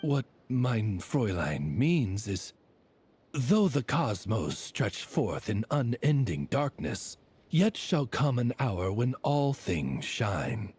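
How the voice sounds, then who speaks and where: A man voicing a courtly, devoted male familiar in a video game, formal and theatrically pompous with a husky voice. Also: mid-range voice, sing-song, slow, darkish tone, exaggerated voice. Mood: grandiloquent, devoted. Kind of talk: English video game voice acting.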